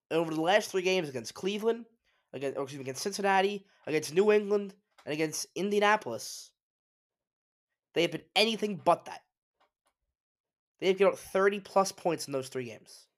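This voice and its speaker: A man's voice: high-pitched, lively intonation, moderate pace, pauses often. A man hosting a solo sports talk show, congested and sniffly, giving emphatic opinions with frequent restarts. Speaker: sports podcast host.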